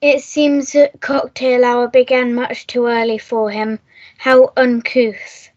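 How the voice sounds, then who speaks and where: Woman as a slow, snobbish society girl. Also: on the high side, flat delivery, a little mellow. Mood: languid, disapproving. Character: snobbish upper-class society girl, languidly disapproving. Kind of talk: melodramatic radio play acting. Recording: dull lo-fi recording.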